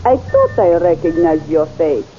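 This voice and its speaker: A proud, scheming young princess. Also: female voice, lowish voice, sing-song, unhurried, faint music behind. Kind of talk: 1930s radio serial acting. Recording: dull lo-fi old radio serial recording.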